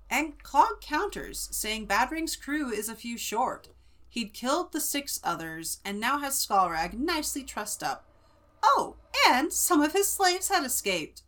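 A woman's voice: a little high, a bit bright. A woman with a Central California accent, co-hosting a book podcast and retelling a chapter in a chatty way. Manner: chatty, many fillers. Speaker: podcast co-host.

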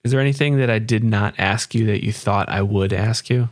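A man's voice: fairly low pitch, fairly quick. A man hosting an interview podcast in a conversational, affirming and appreciative way.

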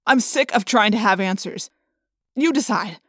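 A woman's voice: medium pitch, animated, normal speed, fairly bright tone, husky, no room sound. A woman reader puts on a stern teenage boy who leads his group in an audiobook story. He is firm and scolding, weary under responsibility, and heard very dry and close. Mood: weary, fed up.

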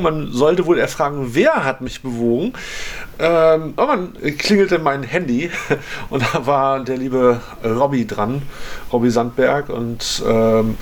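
A man with a mid-pitched voice talks in a relaxed, colloquial interview style. He thinks aloud as he goes, often breaking his explanations into short fragments. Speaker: radio maker and volunteer audio technician. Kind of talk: casual spoken interview.

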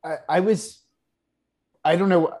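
A man's voice: high voice, steady tone, measured pace, a little mellow. A man in his thirties, a podcast guest, talking in a wry, hesitant way and often restarting his sentences. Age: 30s. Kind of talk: casual podcast chat.

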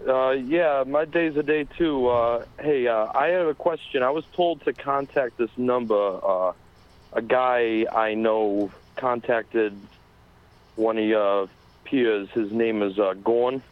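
A man's voice: medium pitch, slow pace, lightly acted. A man doing a shady drawling caller. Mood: sly. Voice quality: drawling, with many filler sounds. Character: shady, smooth-talking male caller. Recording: dull lo-fi phone sound.

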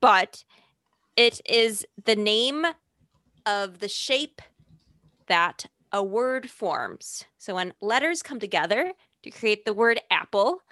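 A woman's voice: medium pitch, animated, slow, bright, forceful tone, no echo, clean studio sound. A woman with a Chicago accent co-hosting a podcast, explaining design topics with enthusiasm. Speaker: podcast co-host. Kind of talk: casual podcast chat.

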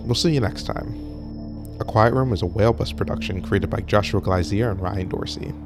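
A man with a low voice delivers scripted podcast narration as the host. Music plays clearly behind his words. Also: a little fast. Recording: prominent music under voice.

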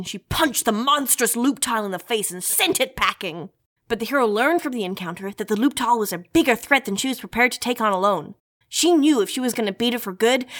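A self-important young female superhero with a high voice, hosting her own show in an audio drama. She talks in melodramatic, mock-epic flourishes and drops into slangy, brash chatter. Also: fairly bright tone. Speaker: host of an in-story news show.

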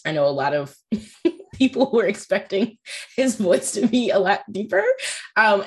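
A woman with a naturally deep voice, chatting informally as a podcast host and posing loose, rambling questions. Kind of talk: casual podcast chat.

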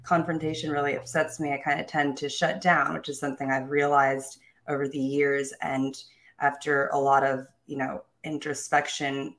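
A woman's voice: deep voice, flat delivery, moderate pace, poor audio. A young woman telling her own life story in an interview, upbeat and self-assured, with small laughs and restarts. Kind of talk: candid personal storytelling.

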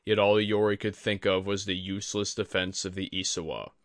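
A man reading a scripted fantasy story as an audiobook narrator, with dramatic, measured phrasing. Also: low voice, level tone, fairly bright tone, no room sound, clean studio sound. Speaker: audiobook reader.

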